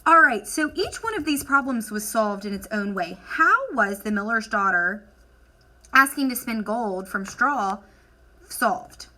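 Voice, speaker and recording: Female primary teacher, slightly high bright voice, slow deliberate dictation, encouraging question-and-answer delivery. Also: expressive. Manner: encouraging, deliberate, dictating words as she writes. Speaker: elementary school teacher. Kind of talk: instructional lesson for children, question and answer.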